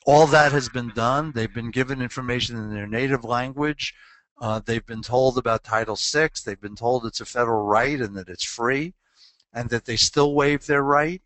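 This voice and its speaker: A man's voice: mid-range voice, normal speed, a bit bright, no echo. A man presenting a webinar on legal compliance, answering a question earnestly and posing rhetorical questions.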